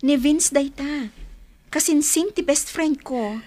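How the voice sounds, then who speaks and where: A woman's voice: sits high, takes it slowly, warm tone. An actress playing a sweet, coy young woman who is being courted in a radio romance drama.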